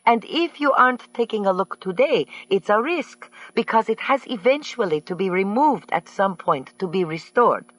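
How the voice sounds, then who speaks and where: A woman's voice: on the high side, expressive, measured pace, a bit bright, no room sound, voice pushed up. A man putting on a fussy, gushing society woman. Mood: insistent. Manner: gushing, mangled phrasing. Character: fussy wealthy woman with odd phrasing. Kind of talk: audiobook character voice.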